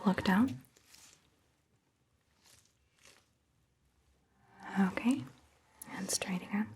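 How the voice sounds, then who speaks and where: A woman voicing a calm, attentive vampire doctor in a gentle, breathy, mostly whispered ASMR roleplay, speaking in a measured way. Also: mid-range voice, a little hiss or hum, lightly acted. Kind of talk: soft-spoken ASMR roleplay.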